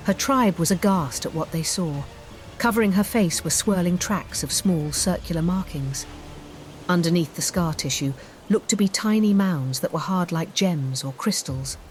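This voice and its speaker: Low-voiced woman narrating dark fantasy. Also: a little hiss or hum, low-quality recording. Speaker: storyteller, audiobook narrator. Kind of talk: scripted dramatic fantasy narration. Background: music bed.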